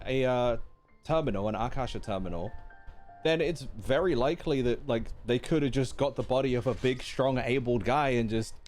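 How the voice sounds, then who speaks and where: A young man commentates casually on a game stream. His voice sits at a middle pitch and moves around a lot as he reacts in slang, with faint music underneath. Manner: animated, slangy.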